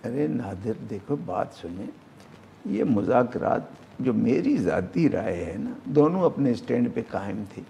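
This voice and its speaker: A man's voice: medium pitch, sing-song, measured pace, gravelly, mellow, a little hiss or hum. A middle-aged man with a Pakistani accent answers political questions informally, repeating phrases for emphasis and dropping in English terms. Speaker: politician, interview guest.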